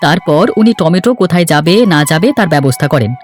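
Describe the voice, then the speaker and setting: Fast-talking village farmer husband, acted by a man. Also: fairly high pitch, expressive, soft background music, natural voice. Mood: content, reasonable. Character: easygoing, hardworking male village farmer, a husband. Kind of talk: Bengali cartoon fairy-tale dialogue.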